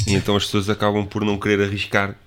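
A man's voice: fairly low pitch, rapid-fire, slight background noise. A man, a podcast co-host explaining things in a casual chat. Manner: explanatory, flat, knowledgeable about wine.

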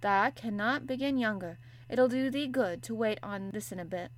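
A woman's voice: fairly high pitch, normal speed, natural voice. Woman voicing a young Yorkshire housemaid, broad dialect, blunt, good-natured, storybook. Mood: chatty. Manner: broad dialect, plain-spoken and homely.

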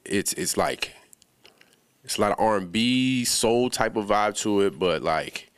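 A young man from the Bahamas talks as a guest on a podcast, relaxed and full of slang. His voice sits very low and comes across smooth and resonant. The room sounds dry and close. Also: measured pace, dry recording. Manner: laid-back, slangy, many fillers. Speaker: music producer guest. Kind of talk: casual podcast interview.

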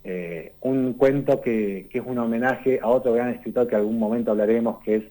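A man, giving a conversational radio literature column and explaining things unhurriedly, often pausing on "eh" and tagging his sentences with "¿no?". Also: medium pitch, mellow, poor audio. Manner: unhurried and explanatory, tags lines with "¿no?" and "eh".

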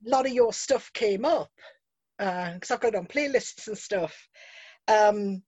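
A woman interviewing a songwriter, chatty and lively when she quotes herself. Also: medium pitch, measured pace, slightly rough, dry recording. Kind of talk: casual chat.

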